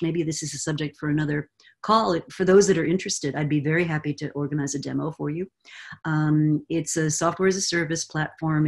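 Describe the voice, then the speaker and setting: A woman in her sixties explaining with confident, animated energy as guest expert on a video call from a home study. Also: sits low. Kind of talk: video call roundtable answer. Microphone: webcam on a video call.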